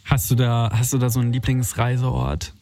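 Mellow young German man chatting casually. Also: medium pitch, animated, normal speed. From Berlin, Germany. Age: 20s. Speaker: interviewer leading a conversation. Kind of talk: casual interview chat.

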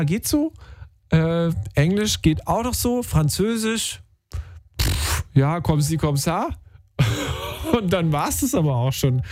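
Male radio host, slangy and upbeat, slightly high voice, dry close studio mic. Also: darkish tone, dry recording. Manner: upbeat, slangy, chatty with filler sounds. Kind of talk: casual call-in radio talk. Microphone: studio microphone.

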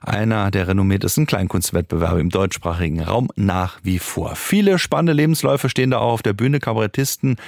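Male radio presenter, low gravelly voice, scripted delivery, dry studio sound. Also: a little fast, few pauses, a little mellow, dry recording. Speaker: radio host.

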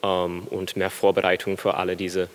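A young American baritone student talks haltingly, pausing often to search for words. His speaking voice sits fairly low. The long reverb of a concert hall hangs around it. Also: monotone. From USA, Michigan. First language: English. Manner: hesitant, searching for German words. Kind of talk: live stage interview. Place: concert hall stage with audience.

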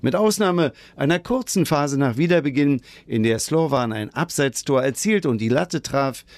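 A man's voice: medium pitch, darkish tone, no room sound. A man reading a scripted sports report as a radio reporter.

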